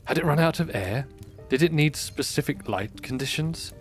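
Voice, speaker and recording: A man narrating a scripted fantasy audio drama in a measured, expressive storybook style. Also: a little high. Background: music.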